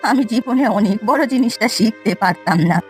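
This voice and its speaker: Cartoon granny played by a woman: old, plaintive, repentant village miser, higher pitch, light music underneath. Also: fairly quick, lightly acted. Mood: remorseful, grateful. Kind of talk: Bengali cartoon story voice acting.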